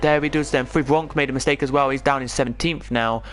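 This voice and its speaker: A man's voice: medium pitch, fairly even intonation, quick pace, fairly bright tone. A man from England with an English accent, giving chatty live commentary on an online racing league. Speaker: esports race commentator.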